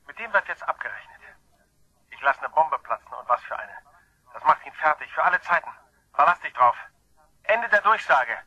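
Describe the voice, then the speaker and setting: An actor playing a vengeful brother in a German crime radio play, menacing and emphatic over a telephone. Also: male voice, a little high, very animated, moderate pace, pauses often, bright, forceful tone, breathy edge, no echo, dull audio, exaggerated voice.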